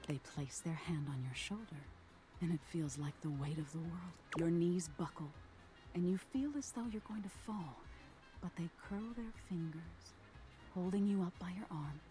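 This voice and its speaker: A woman voicing an eerie nightmare spirit in a video game, telling a soft, ominous tale in a hushed, whispery voice. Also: lowish voice, soft background music, lightly acted. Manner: slow, soothing, ominous storytelling. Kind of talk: game character narration.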